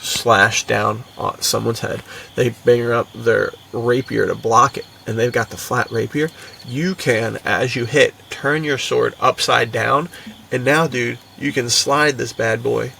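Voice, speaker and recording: A man's voice: medium pitch, steady tone, a little slow, vocal fry, pushed and bright, far from the mic, slight background noise. A young man co-hosting a podcast in casual, enthusiastic chat, with a slangy, buddy-like manner. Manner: slangy, buddy-like, frequently chuckling. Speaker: podcast co-host.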